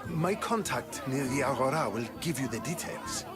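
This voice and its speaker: Casting: male actor as authoritative, guarded Athenian leader for a game, medium pitch with expressive swings, loud music behind. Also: a loud crowd, close to an everyday voice. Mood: grave. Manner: formal, deliberate, authoritative. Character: measured, commanding male statesman of ancient Athens, cautious. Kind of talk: video game voice acting.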